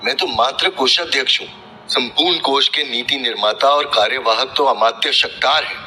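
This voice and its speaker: A man's voice: medium pitch, normal speed, a little hiss or hum, rough recording. A man playing a deferential, anxious royal treasurer in a historical drama, speaking formal Hindi with a humble, hesitant manner. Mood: cautious, reluctant. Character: deferential, anxious, rule-abiding royal treasurer of ancient Magadha. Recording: loud dramatic music behind.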